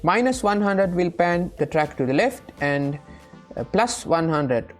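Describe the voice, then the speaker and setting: A woman with a low voice presents a casual, step-by-step software tutorial. She sounds a little hesitant and often seeks confirmation. Faint music sits behind her speech. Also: animated, measured pace.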